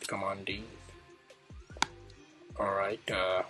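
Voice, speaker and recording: A man presenting a casual coding tutorial, thinking aloud in a halting way as he demonstrates each step. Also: deep voice, completely flat delivery. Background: music clearly playing behind the voice.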